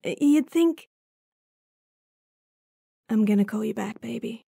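A woman's voice: a little high, lively intonation, takes it slowly, vocal fry, dark tone, husky, no echo, clean studio sound, full character voice. A woman playing a young, idealistic, hot-tempered werewolf in an audio roleplay drama, speaking casually and bluntly. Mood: affectionate, casual.

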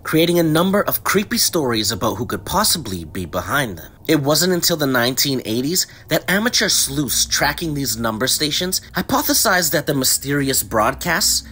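A man's voice: a little high, big pitch swings, normal speed, few pauses, bright, forceful tone, slight background noise. Man narrating with widely swinging intonation. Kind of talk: scripted documentary narration, mystery storytelling.

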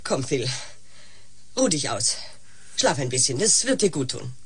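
A woman's voice: a bass voice, sing-song, unhurried, a bit bright, breathy edge, heavily acted. An actress playing an anxious, tender, fussing middle-aged mother in a science-fiction radio drama. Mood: gentle.

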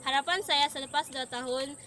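A woman's voice: high voice, a little fast, bright, forceful tone. A seventeen-year-old young woman from Sabah, a student introducing herself outdoors. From Sabah, Malaysia. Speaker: college student. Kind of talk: self-introduction to camera. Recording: noisy outdoor recording with insects.

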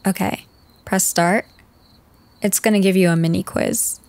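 A woman's voice: mid-range voice, steady tone, unhurried, darkish tone, no echo, close to an everyday voice. A woman playing a teasing young goth girl in an ASMR roleplay, talking casually and directly to the listener. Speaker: roleplay voice performer. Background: background music.

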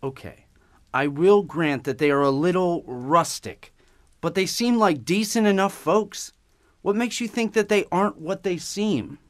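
A man's voice: high voice, unhurried, no echo. A man playing a skeptical, easygoing young husband in an audio drama, reasoning with some exasperation. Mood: doubtful, conceding.

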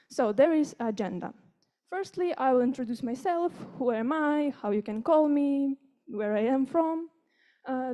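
A woman's voice: fairly high pitch, warm tone. A fifteen-year-old girl with a Ukrainian accent, a native Ukrainian speaker, giving a friendly, informal presentation to an audience. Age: 15, teenager. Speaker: youth speaker presenting at a conference. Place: conference room with seated audience.

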